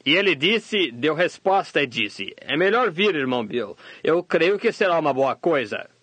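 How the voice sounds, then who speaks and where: A man voicing a sermon translation, delivering it steadily and measured. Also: fairly high pitch, sing-song, fairly bright tone, a little hiss or hum. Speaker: sermon interpreter voice-over. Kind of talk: translated sermon read aloud.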